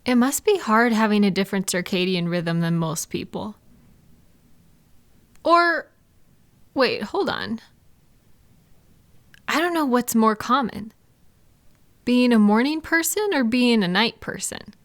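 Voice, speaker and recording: A woman's voice: fairly high pitch, animated, slow, pauses often. A woman playing a caring, chatty girlfriend in an intimate one-sided roleplay audio, talking affectionately and reassuringly to a partner. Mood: musing, curious. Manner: soft-hearted, reassuring, playful, affectionate. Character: caring, gentle, chatty girlfriend comforting a night-owl partner.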